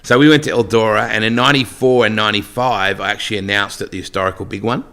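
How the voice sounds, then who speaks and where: Middle-aged Australian race announcer, lowish voice, bright and forceful delivery, chatty storyteller. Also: male voice, fairly even intonation, fast talker. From Western Australia. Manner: chatty storyteller, joking asides. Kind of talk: casual podcast interview.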